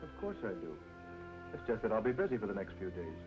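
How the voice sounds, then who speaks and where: A man's voice: lowish voice, sing-song, quick pace. An actor playing a proud, guarded middle-aged man down on his luck, talking tersely in a film noir drama. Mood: evasive. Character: tough, evasive, down-on-his-luck father with stubborn pride. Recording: dull vintage film soundtrack with music.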